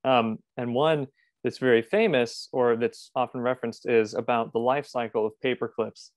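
A man's voice: medium pitch, steady tone, normal speed, no room sound, studio quality. A man in his thirties chatting casually as a podcast co-host on a video call. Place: room at home, video call.

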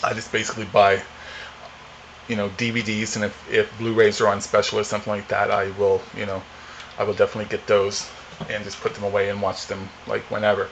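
Man in his 40s, low flat voice, rambling, faint hiss, home camera mic. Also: brisk, poor audio. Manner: rambling, many fillers and restarts. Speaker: vlogger. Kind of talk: casual unboxing chat. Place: home living room, indoors.

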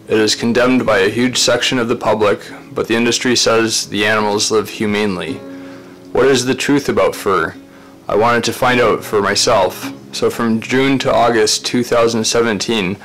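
A fairly low-voiced man gives an earnest, measured voice-over, stepping through his points in short, listed phrases. A faint plucked guitar runs quietly underneath his steady narration. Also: rough recording. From Ontario, Canada. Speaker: animal rights activist. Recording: voice-over with faint music behind. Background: faint guitar music.

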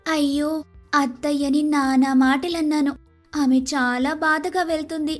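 A woman playing a hot-tempered young daughter-in-law, shrill, scolding and dramatic, in an animated moral story. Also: unhurried, faint music behind. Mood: regretful.

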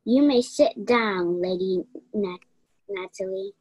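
A woman playing a cool, condescending investigator in a mystery radio play, deliberate and measured. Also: fairly high pitch, sing-song, vocal fry, darkish tone, husky, no echo, full character voice, low-quality recording. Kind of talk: stage-style mystery radio play acting.

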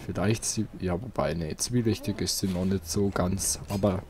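A man's voice: sits low, normal speed, a crowd in the background. Chatty man commenting on a game. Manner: chatty, relaxed, thinking aloud. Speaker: let's play host. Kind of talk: casual gameplay commentary. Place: home, seated on a chair.

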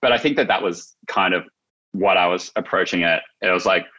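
A man's voice: low voice, brisk, bright, forceful tone, breathy edge. A young adult man with an Australian accent, chatting casually and reflectively as an interview guest on a video call. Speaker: interview guest, marketing agency founder. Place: indoors, small office room, on a video call. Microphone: video call, no headset seen. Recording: slightly dull video-call audio.

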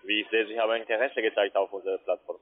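A man's voice: on the low side, level tone, racing. A man with a foreign accent in German, a non-native speaker making a scripted call-centre sales call. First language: not German. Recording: tinny narrowband phone line.

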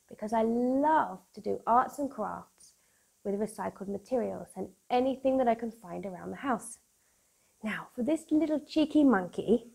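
A British woman in her thirties presenting for young children in a playful, expressive, sing-song manner, as if hosting a picture-book club. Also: a little high, vocal fry. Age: 30s. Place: small room at home, indoors. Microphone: lapel mic.

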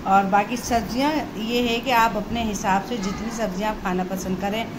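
A woman's voice: mid-range voice, steady tone, moderate pace. Woman explaining recipes over background noise. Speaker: home cook, cooking channel host. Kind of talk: casual recipe tutorial narration.

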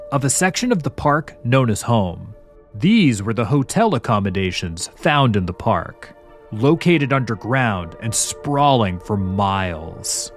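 Ominous male narrator over music. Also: mid-range voice. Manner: ominous, dramatic storyteller. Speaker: host and narrator. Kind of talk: scripted narration of a horror mystery. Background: background music.

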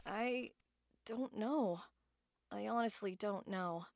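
A woman voicing a caring, loyal young squid-girl in a dramatic comic dub, with an expressive, tender manner. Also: mid-range voice, slow pace, vocal fry. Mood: warm, reassuring. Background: music.

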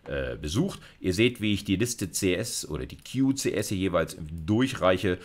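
Man, middle-aged, low voice, university lecturer, conversational coding walkthrough, thinks aloud, English tech terms mixed in. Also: expressive, moderate pace. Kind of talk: informal video lecture with live coding demo.

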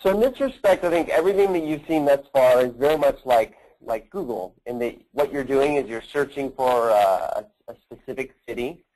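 A man giving a product demo on a conference call as a company representative, often pausing mid-phrase. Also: mid-range voice, expressive, a little mellow, poor audio.

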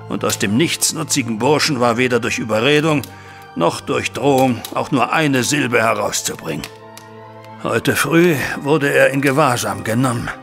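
Anguished middle-aged gentleman, creaky and forceful voice, formal, agitated, audio drama with music underneath. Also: medium pitch. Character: distraught, anguished banker father recounting a family scandal. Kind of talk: German audio drama acting.

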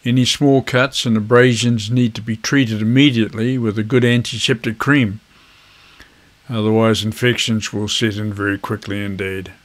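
A man in his seventies giving an instructional talk to camera in a measured, conversational, avuncular way. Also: fairly low pitch, level tone. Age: 70s. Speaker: video host, ham radio hobbyist. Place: indoors at a desk, virtual backdrop.

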